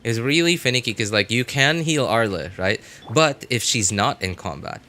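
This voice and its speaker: A man giving casual live gameplay commentary, thinking aloud in a halting way with sarcastic asides. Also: medium pitch, animated, bright, forceful tone. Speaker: game streamer.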